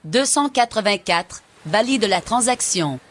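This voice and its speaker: A woman's voice: mid-range voice, lively intonation, measured pace. A woman playing a businesslike clerk in an audio drama, speaking in a matter-of-fact way. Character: businesslike female clerk handling camp credits.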